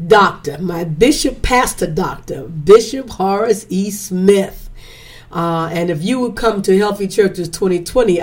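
A woman's voice: on the low side, a little mellow. Woman host, stuffy sinus voice, chatty, preacherly repetition. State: congested, slightly hoarse. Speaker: podcast host. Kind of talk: casual podcast intro.

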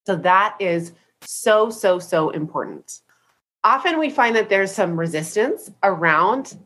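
A woman's voice: on the low side, fairly bright tone. Congested woman coaching on a call. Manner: upbeat, chatty, says "right?" often. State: congested from a head cold. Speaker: business coach.

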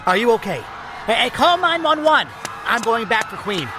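A man's voice: very high voice, very animated, normal speed. A man playing an anxious, urgent man at an emergency in a superhero audio drama. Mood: panicked. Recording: noisy outdoor crowd ambience. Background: crowd and outdoor noise.

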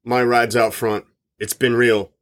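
An actor playing a suspicious, confrontational rock band member in an audio drama, speaking in an insistent, accusing way. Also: male voice, medium pitch, level tone, unhurried, a bit bright, close to an everyday voice. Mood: resolute.

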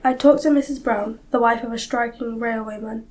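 A woman's voice: a little high, fairly even intonation, normal speed, darkish tone, close to an everyday voice. A woman playing a female newspaper investigator of 1919, narrating a scripted audio drama in an earnest way. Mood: earnest, reporting.

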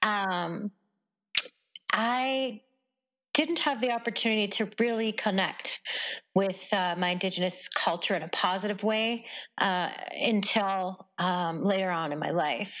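A woman in her forties from Canada, a journalist guest speaking thoughtfully in an interview, with many pauses and fillers. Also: medium pitch, fairly bright tone. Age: 40s. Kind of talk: conversational interview.

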